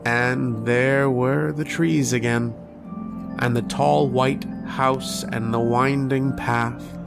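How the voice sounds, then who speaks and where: An actor playing a haunted, anguished man telling his story in a halting, dramatic old-time radio horror style. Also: mid-range voice, steady tone, gravelly, music in the background. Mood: haunted, uneasy. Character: haunted, anguished man recounting his nightmares and lost love.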